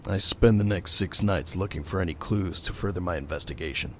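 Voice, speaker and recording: A man playing a brooding, stern vigilante detective in an audio drama, terse and grim with a gravelly voice. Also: low voice, fairly quick, slight background noise, natural voice. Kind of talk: audio drama narration and dialogue.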